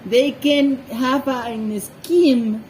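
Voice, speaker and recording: A woman who is not a native English speaker, giving informal Bible commentary with personal stories in a halting way, searching for words. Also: high-pitched, darkish tone, slight background noise, low-quality recording. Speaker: scripture reader and commentator.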